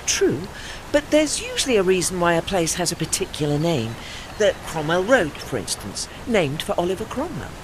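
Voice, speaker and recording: Man acting dignified old British warship, very animated. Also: sits high, normal speed, noisy background. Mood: proud, wistful. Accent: British English. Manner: formal, articulate, pedantic, apologetic. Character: old retired male navy warship, dignified, learned, wistful. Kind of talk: audio drama dialogue.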